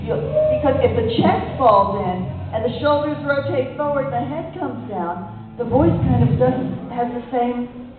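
Lively woman on a far, echoey panel stage. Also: normal speed. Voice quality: deep voice for a woman. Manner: lively, laughing, acts out stances while talking. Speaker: voice actress on a convention panel. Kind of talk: animated storytelling panel talk. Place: convention ballroom stage, large indoor hall. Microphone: handheld mic through PA, recorded from the audience. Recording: far, echoey hall, tinny phone-like sound.

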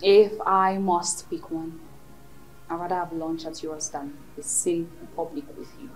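A woman's voice: fairly low pitch, steady tone, a little slow, faint music behind, close to an everyday voice, poor audio. A woman playing a reserved, wary employee, speaking politely and hesitantly in a screen drama. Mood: guarded, polite.

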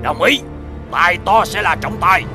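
A man's voice: sits high, moderate pace, close to an everyday voice. A man voicing a proud, competitive sun spirit in a children's cartoon, boastful and self-important, with a Southern Vietnamese accent. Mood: challenging. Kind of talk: children's animated dubbing. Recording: music behind the voice.

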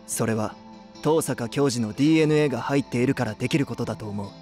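A dependable young band vocalist in an anime audio drama, performed by a man. He sounds composed and serious at a medium pitch, with light music behind him. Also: fast, darkish tone, natural voice. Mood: calm. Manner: composed, matter-of-fact. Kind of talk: Japanese anime voice drama acting.

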